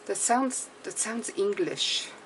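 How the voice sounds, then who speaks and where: A woman's voice: medium pitch, lively intonation, takes it slowly, fairly bright tone, a little hiss or hum, low-quality recording. Chatty French-accented woman reading tarot. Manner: chatty, reassuring, often ends with "okay?". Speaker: tarot reader. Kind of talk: casual tarot reading to camera.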